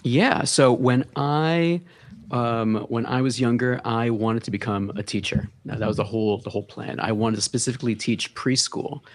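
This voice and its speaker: A man, a podcast guest telling his story in relaxed casual talk full of fillers. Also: medium pitch, moderate pace. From the Bronx, New York. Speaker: guest, content creator.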